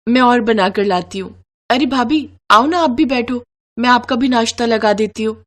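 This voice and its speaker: A woman's voice: fairly high pitch, normal speed, a bit bright, natural voice. A woman voicing a sweet, caring young bride who speaks earnestly and respectfully, eager to please. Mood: eager, cheerful.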